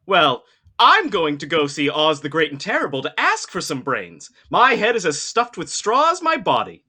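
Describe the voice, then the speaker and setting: Scarecrow, female actor: simple, friendly, curious, wildly animated and bright, for a children's fantasy read. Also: sits low, normal speed, breathy edge, exaggerated voice. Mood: earnest. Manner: earnest, inquisitive. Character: friendly, simple-minded straw-stuffed scarecrow. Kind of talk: live table read of a children's fantasy story.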